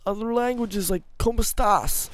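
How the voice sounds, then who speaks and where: Hoarse young man rambling over gameplay. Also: high voice, moderate pace, noisy background. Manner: rambling, self-mocking, bursts into loud laughing exclamations. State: voice going hoarse. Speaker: gaming YouTuber. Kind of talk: casual gameplay commentary. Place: small room at home.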